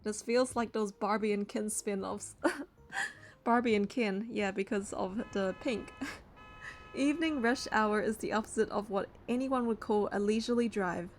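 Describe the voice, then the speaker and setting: A woman's voice: medium pitch, a little slow, faint music behind. A woman reading a video game's story text aloud, with casual asides as she plays.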